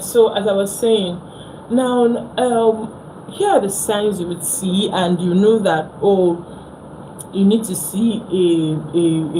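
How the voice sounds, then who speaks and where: A woman's voice: medium pitch, dark tone, slight background noise, low-quality recording. A young Nigerian woman with a Nigerian accent, hosting a casual phone live stream, chatting with viewers and repeating herself.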